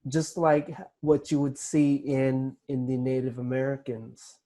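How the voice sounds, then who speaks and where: A man explaining cultural traditions in a hesitant way, pausing often with fillers and restarts. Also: medium pitch, level tone, dark tone. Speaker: guest explaining Polynesian culture. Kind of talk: casual explanatory talk.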